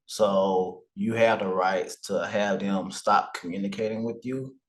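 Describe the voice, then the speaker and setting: A man appearing as a guest on a podcast, explaining credit and travel hacking advice in a calm, measured way. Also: fairly low pitch. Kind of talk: casual podcast interview.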